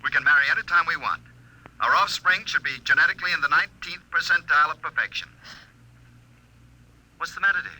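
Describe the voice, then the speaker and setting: An actor playing an eager, officious fiancé of the future in 1950s radio drama, talking in formal endearments through a telephone filter. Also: male voice, on the high side, quick pace, long pauses, bright, forceful tone, poor audio. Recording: old radio broadcast, thin telephone sound.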